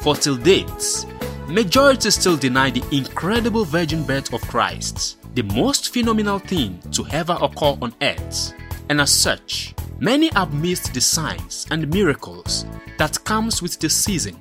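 Man as religious drama narrator, solemn, grand, measured, expressive pitch movement, clear background music. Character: solemn storyteller proclaiming a religious message. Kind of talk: scripted radio drama narration.

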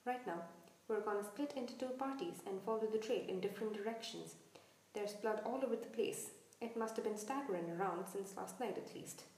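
A woman voicing a gruff, fierce but kindly giant male gamekeeper in a storybook, growling blunt commands in rustic dialect. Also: mid-range voice, a little fast, a little mellow, lightly acted. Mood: grave, instructing. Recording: echoey room, distant from mic.

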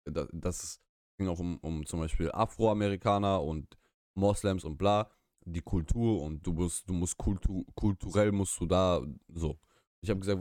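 Young guy, russian his first language, deep voice, rambles and restarts a lot, dry close sound. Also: darkish tone, no room sound. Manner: rambling, self-correcting, opinionated. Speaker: podcast co-host. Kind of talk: casual chat. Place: small room, live stream.

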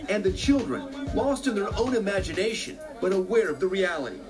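A man narrating a scripted news report as a correspondent, in dramatic, measured phrases. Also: high voice, sing-song, over music.

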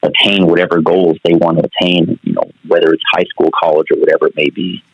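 A man's voice: low voice, flat delivery, fast talker, dry recording, lo-fi sound. A man in his thirties from New Mexico, a basketball coach answering interview questions earnestly, with many fillers.

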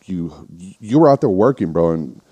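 A male comic guest talks with easy enthusiasm, joking and cursing as he goes. His voice is low and mellow. It is recorded close and dry. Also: expressive, moderate pace, slightly rough, no room sound, dull audio. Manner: enthusiastic, joking, swears freely. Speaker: podcast guest and stand-up comic. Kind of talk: casual podcast chat.

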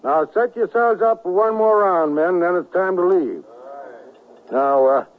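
Stern conspiracy leader, thin radio sound. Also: male voice, high voice, unhurried, slight background noise. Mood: conspiratorial. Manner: oratorical, authoritative. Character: stern secessionist conspiracy leader, commanding and menacing. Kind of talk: radio drama acting. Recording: narrow-band vintage radio recording.

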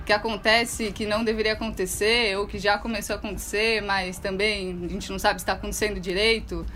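Brief: woman host, mid-pitched, chatty and loose, video call with faint background hiss. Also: flat delivery, a little fast, pushed and bright. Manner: chatty, loose phrasing with fillers. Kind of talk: casual video-call interview.

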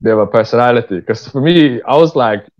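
A man's voice: medium pitch, fast talker, darkish tone. Dull-sounding British man chatting casually. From England. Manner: informal, slangy, candid. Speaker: interview guest, writer-director. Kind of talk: casual conversational storytelling. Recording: dull, lo-fi voice recording.

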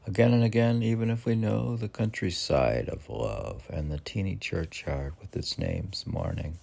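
An older man reading poetry informally, with halting, musing asides in which he asks and answers himself. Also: sits low, animated, gravelly, a little mellow. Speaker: poetry reader and podcast host.

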